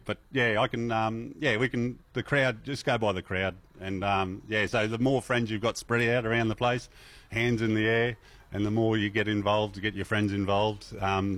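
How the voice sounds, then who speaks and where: A middle-aged Australian man with a fairly low voice, talking casually as a radio guest. He rambles easily, with plenty of ums and restarts. The sound is close and dry. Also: normal speed, dry recording. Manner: relaxed and chatty, many fillers and restarts, laughs easily. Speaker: festival MC, interview guest. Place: radio interview.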